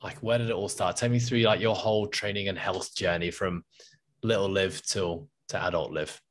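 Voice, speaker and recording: A man's voice: low voice, steady tone, moderate pace, slight background noise. A young man hosting an interview over a video call on a headset, asking friendly, casual questions. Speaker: podcast host.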